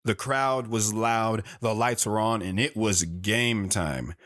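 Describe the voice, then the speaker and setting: A man's voice: lowish voice, slow, fairly bright tone. A man playing a plain-spoken guy recounting his youth as first-person storytelling in an audio drama, earnest and matter-of-fact. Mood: earnest narrating.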